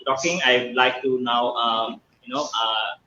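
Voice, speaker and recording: Man from bangladesh, live stream host, bright forceful voice, echoey room, faint noise. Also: mid-range voice, flat delivery, highs cut off. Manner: halting, many filler sounds. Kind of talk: live online interview.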